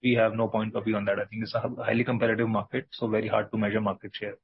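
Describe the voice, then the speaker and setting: Man, company executive fielding analyst questions, low husky voice, guarded and plain-spoken. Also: level tone, racing, no room sound. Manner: guarded, matter-of-fact. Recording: dull, lo-fi conference call audio.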